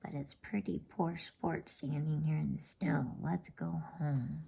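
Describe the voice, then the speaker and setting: Woman whispering as a breathy, snappish schoolboy. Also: deep voice, steady tone, slow, dark tone, heavily acted. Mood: skeptical, superior. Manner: snappish, superior. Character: schoolboy, sulky and know-it-all.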